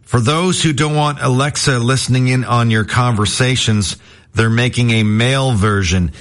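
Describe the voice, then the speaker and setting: A man hosting a morning radio show in casual chat, his voice worn deeper than usual from overuse, rambling and self-deprecating. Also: moderate pace, fairly bright tone.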